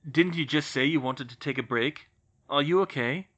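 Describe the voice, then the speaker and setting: A narrator voicing a concerned young wizard who speaks softly and pleads gently with a friend in a storybook reading. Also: mid-range voice, moderate pace, voice pushed up, lightly acted. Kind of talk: audiobook reading of fan fiction. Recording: slightly muffled recording.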